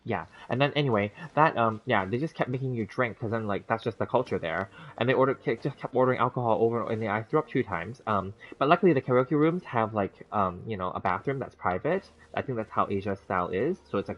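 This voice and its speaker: A man's voice: lowish voice, lively intonation, fast talker, few pauses. A man in his thirties chatting casually with stream viewers, rambling through a story with lots of fillers and restarts, laughing easily. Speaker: gaming streamer. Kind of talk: casual livestream chat with viewers. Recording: dull lo-fi stream audio.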